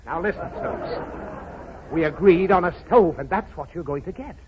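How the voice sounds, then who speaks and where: High-pitched exasperated American father. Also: animated, normal speed, a faint crowd. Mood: flustered, defensive. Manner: scolding, protesting, easily flustered. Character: exasperated, blustering father of a little girl. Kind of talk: 1930s radio comedy acting. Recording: muffled, hissy old 1930s radio recording.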